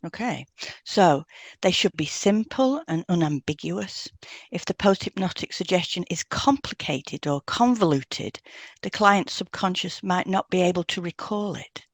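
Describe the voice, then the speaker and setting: A woman's voice: lowish voice, dry recording. A woman co-hosting an educational podcast, reading scripted teaching points. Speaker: podcast co-host, hypnotherapist.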